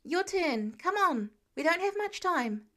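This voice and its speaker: A woman voicing an earnest, eager teenage boy superhero with breathy urgency in an audiobook story. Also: extremely high pitch, very animated, a little slow, a bit bright, pitched above the performer's own voice, heavily acted. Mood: urgent, reassuring.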